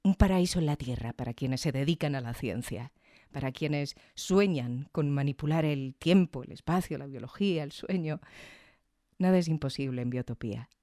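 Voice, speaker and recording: A woman's voice: sits low, moderate pace, dark tone, no room sound, clean studio sound. A woman hosting a podcast, giving reflective, conversational scripted commentary with tag questions. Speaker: podcast host.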